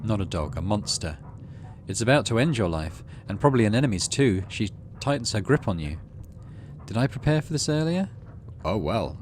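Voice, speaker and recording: Guy doing a game playthrough, lowish voice, kinda talking to himself, noise in the background. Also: sing-song, moderate pace. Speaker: let's play host. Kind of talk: gameplay commentary and reading game text aloud.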